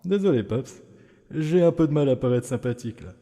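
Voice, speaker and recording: Man voicing a very mellow, weary skeleton. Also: medium pitch, expressive, a little fast, lightly acted. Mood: dry, weary. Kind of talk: fan comic dub acting. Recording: long hall reverb, music underneath.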